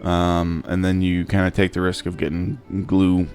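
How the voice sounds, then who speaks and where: Low-voiced man casually explaining a craft build. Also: monotone, normal speed, darkish tone. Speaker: DIY prop maker and channel host. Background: music.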